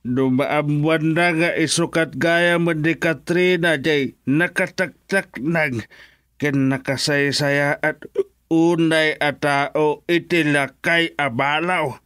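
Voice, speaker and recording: Radio drama old father, drunk and aggrieved, slow delivery, dry close sound. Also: fairly high pitch, steady tone, a bit bright, no echo. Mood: aggrieved, bitter. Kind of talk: Ilocano radio drama acting.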